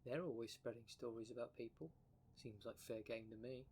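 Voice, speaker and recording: A man's voice: medium pitch, a little fast, darkish tone, slightly rough. A man playing a blunt, cheeky dream demon, slangy and teasing, in an ASMR roleplay drama. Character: blunt, cheeky dream demon, bickering half of split creature.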